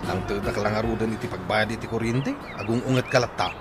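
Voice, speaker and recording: A man's voice: lowish voice, fast, over loud music, natural voice. A man playing a weary, timid, complaining husband in an Ilocano comedy radio drama, with plaintive exclamations.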